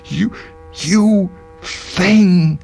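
A man's voice: high voice, drawn-out words, vocal fry, a little mellow, husky, faint music behind, pitched above the performer's own voice, exaggerated voice. A man voicing a distraught, bitter middle-aged woman in a short-story reading, with anguished exclamations.

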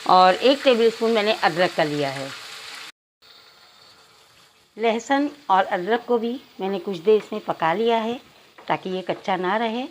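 A woman's voice: medium pitch, a little hiss or hum, poor audio. A woman narrating cooking instructions step by step, addressing viewers directly as a home cook. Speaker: home cook presenting a recipe.

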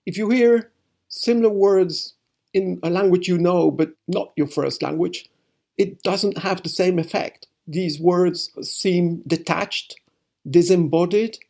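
Gravelly Belgian-born professor, mellow tone. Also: male voice, high voice. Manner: measured, explanatory. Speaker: linguistics professor. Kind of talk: expert interview.

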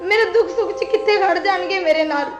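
A woman's voice: very high voice, normal speed, muffled recording. A young woman playing a wronged young wife who accuses her mother-in-law through tears. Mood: tearful. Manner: emotional, tearful pleading. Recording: roomy echo, faint music.

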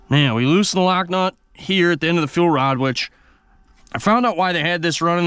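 A man's voice: mid-range voice, smooth, no room sound. A man casually walking viewers through an engine repair step by step, as a mechanic would. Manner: relaxed, talks the viewer through each step. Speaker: mechanic restoring an old diesel engine.

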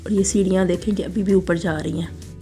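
Woman, hoarse and gravelly, low flat voice, chatty tour narration, faint music underneath. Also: fairly quick. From Pakistan. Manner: chatty, admiring, guiding viewers along. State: sore throat, voice hoarse. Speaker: travel vlogger. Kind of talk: casual voice-over tour narration.

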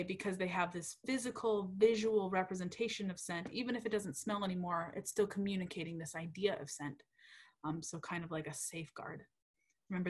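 A young woman researcher giving a casual, chatty lecture over slides, with many ums, self-corrections and "right?" checks. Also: on the low side. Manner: uptalk and frequent "right?" checks. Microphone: computer mic on a video call.

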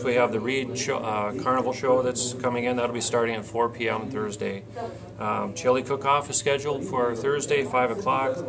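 A man in his forties with a gravelly, fry-edged voice talks through a list of events in an interview. His delivery is plain and halting. He is picked up by a camera mic in a meeting room, with chatter behind him. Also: mid-range voice. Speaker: county fair board president, interview guest. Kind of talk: reading out an event schedule in a radio interview. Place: small indoor meeting room, seated at a table. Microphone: camcorder a short distance in front.

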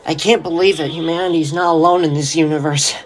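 A performer playing an excitable, stammering field reporter in a 1930s-style radio drama, urgent and awed. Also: medium pitch, fast, faint music behind, close to an everyday voice. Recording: crowd noise behind.

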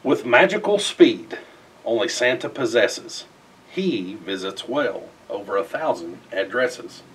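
A middle-aged man reads a rhyming children's picture book aloud in an expressive, theatrical way with emphatic phrasing. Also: on the low side, takes it slowly, husky, far from the mic, poor audio. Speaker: storybook reader. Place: indoors in front of a festive backdrop.

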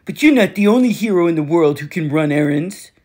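A boastful male god of war with a taunting, cocky way of talking, as a woman reader puts him on. He sounds loud and pleased with himself, his pitch leaping around a lot. It is an audiobook character voice. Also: expressive, brisk. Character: smug, menacing adult male god of war.

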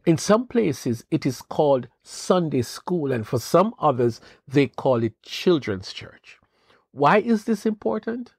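A man hosting a devotional podcast, giving an earnest, measured Bible teaching with rising questions. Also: fairly high pitch, sing-song, husky, no room sound, studio quality. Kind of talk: scripted devotional talk.

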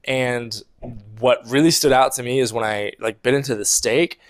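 A young man talks to the camera indoors on a lapel mic, reviewing a meal. He speaks casually with plenty of fillers. His voice sits in a middle pitch and sounds animated and chatty. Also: moderate pace, clear, resonant tone. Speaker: producer giving a food review. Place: indoor room at home.

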